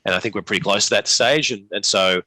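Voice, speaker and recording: A man with a lowish, bright voice talks through market analysis as an interview guest. His delivery is unscripted and halting, with repeated words and restarts, and the sound is close and dry. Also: no echo, poor audio. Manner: halting, repetitive phrasing with many restarts. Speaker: investment manager, interview guest. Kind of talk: unscripted market commentary in a live Q&A.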